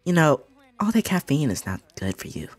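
A man voicing a coy, flirty female cartoon bat in a husky, hesitant amateur comic voice-over. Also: a little high, sing-song, fairly quick, soft background music.

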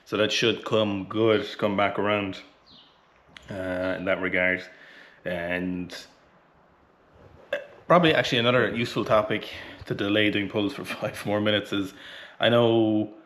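Blunt Irish man, lower voice. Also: steady tone, a little slow, long pauses, a bit bright. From Ireland. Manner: blunt, chatty, swears casually. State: sweaty between lifts. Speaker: weightlifting coach. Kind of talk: casual training vlog talk. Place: small indoor home gym, warm. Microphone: camera close in front.

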